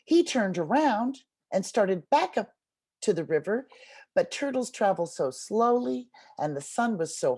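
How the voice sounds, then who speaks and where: Woman educator-storyteller, encouraging and very animated in pitch, mid-range voice, on a video call. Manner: encouraging, expressive, addresses listeners like young children. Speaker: literacy workshop teacher, storyteller. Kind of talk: interactive read-aloud with instructions to a group.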